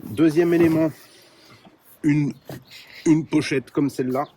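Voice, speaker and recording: Relaxed man showing his radio gear. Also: fairly high pitch, unhurried, background noise. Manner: relaxed, many fillers, addresses viewers directly. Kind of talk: casual hands-on gear demo.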